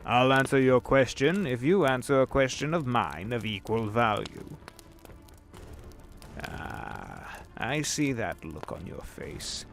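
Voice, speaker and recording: A man voicing the Devil as a sly, smooth-talking businessman in an audio drama, drawling and knowing. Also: mid-range voice, big pitch swings, slow, exaggerated voice. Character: the Devil posing as suave rich businessman, middle-aged man. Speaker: voice actor. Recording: with background music.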